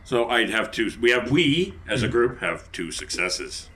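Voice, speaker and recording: A man playing a tabletop game, chatting casually in a playful, animated way. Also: medium pitch, unhurried, slight background noise.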